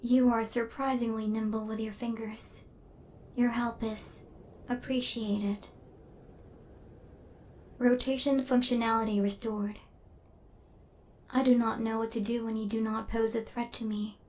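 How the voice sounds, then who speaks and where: Woman voicing a deadpan female killer robot, evenly pitched. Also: unhurried, long pauses, close to an everyday voice. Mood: curious, deadpan. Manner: formal, clinical, stilted deadpan phrasing. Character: female killer robot drone, literal, stern yet softening. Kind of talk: ASMR roleplay.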